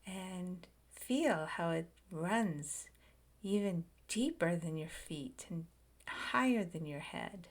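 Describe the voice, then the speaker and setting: A woman leading a guided meditation, speaking softly in short phrases with long pauses and frequent whispered words. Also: fairly low pitch, lively intonation. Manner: slow, soft and soothing, drifting into whispers.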